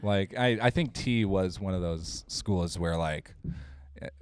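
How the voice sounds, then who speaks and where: A man's voice: unhurried, smooth, dry recording. A young man co-hosting a casual podcast chat with friends, playful and laughing often. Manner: playful, laughs often, swoops pitch up and down for jokes. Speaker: podcast co-host.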